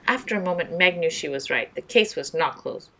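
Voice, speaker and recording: A woman's voice: fairly low pitch, lively intonation, fairly quick, pushed and bright. A woman narrating a children's mystery storybook as a read-aloud storyteller.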